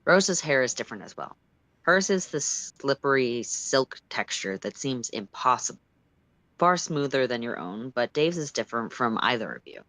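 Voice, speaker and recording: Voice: medium pitch, very animated, moderate pace, a bit bright, no echo, low-quality recording. A storyteller reading fan fiction aloud in the second person, with an emphatic, dramatic and exasperated delivery. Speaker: storytime livestream reader.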